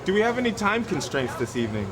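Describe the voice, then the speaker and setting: Man acting as a high-voiced, courteous restaurant waiter. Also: very animated, quick pace. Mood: courteous, upbeat. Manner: courteous, eager to please. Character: polite, attentive male restaurant waiter. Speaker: actor in a training film. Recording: noisy location sound with clear background noise.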